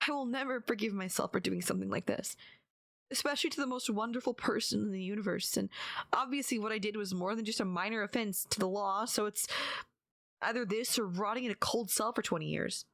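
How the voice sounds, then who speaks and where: A woman playing a despairing, lovesick yandere kidnapper in an intimate audio roleplay, halting and pleading, often slipping into half-whispers. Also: mid-range voice, gravelly, a bit bright, no echo. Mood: resigned, bewildered. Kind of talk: intimate ASMR-style dramatic audio roleplay.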